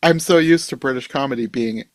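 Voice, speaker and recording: A man's voice: mid-range voice, brisk, no echo. Casual male podcast host, dry recording. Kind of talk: casual chat.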